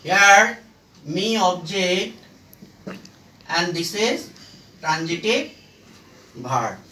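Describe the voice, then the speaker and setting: A teacher giving a step-by-step grammar lesson in short, halting phrases, asking and answering their own questions, recorded from a distance in an echoey room. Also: medium pitch, expressive, pushed and bright. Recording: echoey room, far from the mic.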